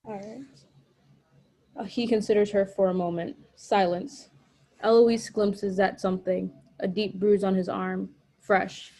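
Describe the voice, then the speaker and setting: A woman's voice: medium pitch, steady tone, a little slow, mellow. A woman reading screenplay stage directions aloud as narrator at a table read. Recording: dull lo-fi recording.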